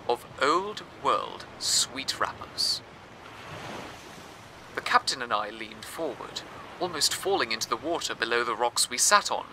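A man hosting a radio show, telling a story in scripted, wordy, measured narration. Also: mid-range voice, noisy background. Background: music.

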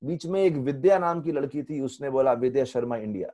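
Indian man teaching with a mellow, warm voice. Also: mid-range voice, fairly quick. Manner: lively storytelling, mixing English phrases into Hindi. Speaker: spiritual teacher. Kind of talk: online spiritual lecture. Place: temple room indoors. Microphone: earphone headset mic on video call.

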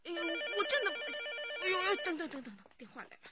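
A woman's voice: very high voice, huge pitch swings, quick pace, over loud music, heavily acted. An actress playing an anxious, evasive old mother in a radio drama, wailing, sighing and repeating herself as she protects her son. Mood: panicked, distressed. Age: older. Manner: flustered, wailing, repeats herself, many sighs. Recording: slightly dull studio radio drama sound.

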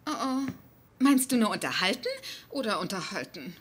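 Actress as playful young woman, lively intonation. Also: mid-range voice, normal speed, slight background noise, lightly acted. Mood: playful, coaxing. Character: playful, confident young girlfriend, teasing and coaxing. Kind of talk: dubbed sitcom dialogue.

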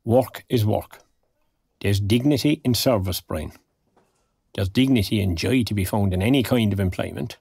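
An older retired man, with a Cork accent and a husky, gravelly voice. He speaks mildly and a little hesitantly, with quiet dignity, in a naturalistic audio drama. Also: on the low side, normal speed, no room sound. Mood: gently earnest. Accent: Irish, County Cork.

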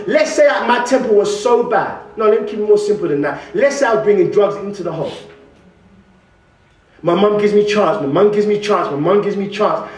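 A young man from England with a West Midlands accent, preaching a sermon and calling out questions to the congregation. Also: very high voice. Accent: West Midlands English. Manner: animated, calls out questions to the congregation; gestures broadly at the pulpit. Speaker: guest preacher. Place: large church hall, indoors. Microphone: stand mic at pulpit, far camera. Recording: roomy church echo, mic at a distance.

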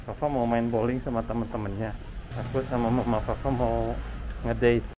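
A man's voice: medium pitch, level tone, normal speed. A man casually vlogging to his viewers while out in the city with his wife. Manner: chatty, addresses viewers as guys. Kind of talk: casual vlog chat. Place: busy city streets and food hub. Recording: loud street noise.

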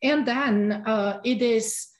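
A middle-aged woman from Romania, giving a Sabbath school talk with many pauses and restarts. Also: on the high side, reverberant. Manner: halting, many ums and restarts. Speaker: guest teacher.

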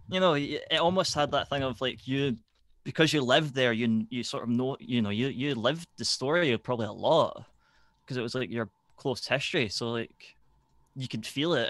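Halting man, very dry close sound. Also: mid-range voice, fairly bright tone, no echo. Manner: halting, tentative phrasing. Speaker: stream co-storyteller and tabletop player. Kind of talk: casual livestream chat.

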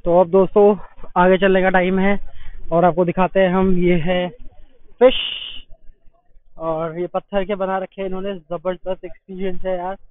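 A man's voice: high-pitched, flat delivery, normal speed, no room sound. A young man from India narrating a travel vlog outdoors, chatty and exclaiming as he shows viewers around. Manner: chatty, exclaiming, tour-guide commentary to viewers. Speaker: travel vlogger. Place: outdoors at a temple site. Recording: tinny phone-like sound.

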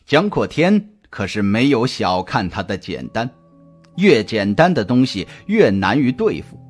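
A man reading a scripted suspense audiobook in a dramatic, deliberate, expressive storytelling manner. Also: mid-range voice. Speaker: audiobook narrator. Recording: music under the voice. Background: background music.

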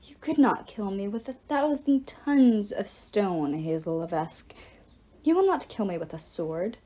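A woman voicing an ancient undead king, a defiant villain in an audiobook, with a menacing, deliberate snarl. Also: medium pitch, animated, mellow. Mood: defiant menace.

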